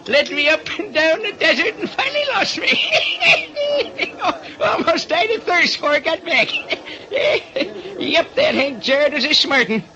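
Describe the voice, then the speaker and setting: A man's voice: very high-pitched, sing-song, a little slow, pauses often, voice pushed up, exaggerated voice. A man voicing a crafty old American prospector with a folksy, cackling drawl in a radio detective drama. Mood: amused. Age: older. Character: crafty old Western prospector, shrewd and thirsty. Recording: dull recording with clear noise.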